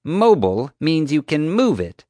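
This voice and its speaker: Audiobook role: rude, accusatory woman guard played by a man, raised pitch, puffed-up officialdom, very animated delivery. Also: unhurried, no room sound, clean studio sound, heavily acted. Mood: scornful. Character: rude, pompous, dim-witted woman security officer. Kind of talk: audiobook character voice.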